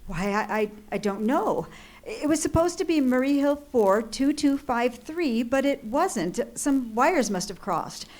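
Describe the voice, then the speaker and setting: A woman's voice: high voice, expressive, unhurried, darkish tone. An actress playing a fretful, demanding woman on the telephone in an old-time radio thriller, agitated and insistent. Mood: alarmed. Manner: agitated, insistent, theatrical, stammering with alarm. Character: fretful, demanding, highly strung telephone caller in distress.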